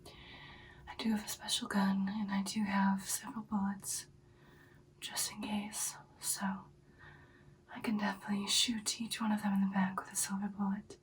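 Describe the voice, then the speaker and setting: A woman playing an ancient, confident female vampire girlfriend in an ASMR roleplay, whispering breathily in an intimate, unhurried, matter-of-fact way. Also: medium pitch, completely flat delivery, distant mic, lightly acted, low-quality recording. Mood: softly confident.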